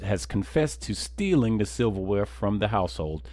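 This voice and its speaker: A man voicing a confident, crafty bird defense advocate in a courtroom game, measured and dramatic. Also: on the low side, expressive, dark tone, no room sound, pitched below the performer's own voice, close to an everyday voice. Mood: assured.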